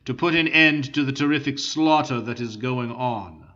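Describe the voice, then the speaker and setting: A man reading an audiobook voices a cool, guarded male renegade commander speaking with measured courtesy. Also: mid-range voice, pushed and bright, muffled recording, close to an everyday voice.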